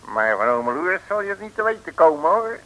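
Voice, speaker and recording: Bright-voiced cocky detective, hissy old radio. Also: male voice, fairly high pitch, sing-song, brisk, exaggerated voice, poor audio. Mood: mocking, defiant. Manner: breezy, slangy, mocking. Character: cocky, streetwise, unflappable male private detective. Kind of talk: 1950s Dutch radio serial acting.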